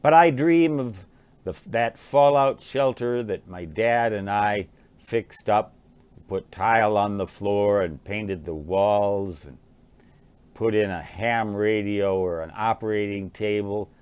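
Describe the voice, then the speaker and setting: An American man in his sixties, musing aloud in a halting, rambling monologue and often repeating words. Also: on the low side, a little mellow, clear, resonant tone, no echo. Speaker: vlogger, writer and ham radio hobbyist.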